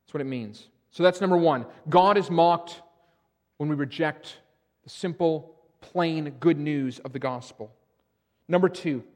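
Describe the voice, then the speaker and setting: A middle-aged pastor delivers a sermon in a high, lifted voice. He presses his points with emphasis and often restarts his phrases. The sound rings with the long reverb of a church sanctuary. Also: darkish tone. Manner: emphatic preaching with frequent restarts.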